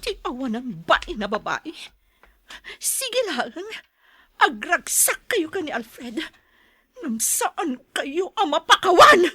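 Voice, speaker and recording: A woman's voice: high voice, big pitch swings, slow pace, sharp tone, dry recording, clean studio sound, heavily acted. A woman playing a betrayed, anguished wife with a fierce temper in a radio-style melodrama, emotional and confrontational. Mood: enraged.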